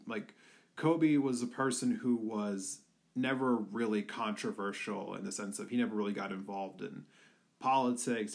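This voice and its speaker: A young man from the Philadelphia area talking earnestly in a personal tribute, halting with many fillers. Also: lowish voice, vocal fry. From Philadelphia area, USA. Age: young adult.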